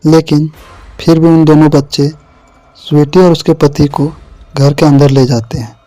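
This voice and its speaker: A man's voice: fairly high pitch, fairly even intonation, moderate pace, mellow, no room sound. A man giving a scripted narration of a horror story.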